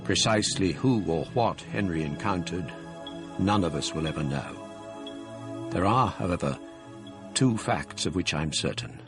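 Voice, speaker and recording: A young scholar-narrator; measured, tense, very low voice over music. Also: male voice, animated. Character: young male scholar recalling a terror. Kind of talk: radio drama narration. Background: eerie music.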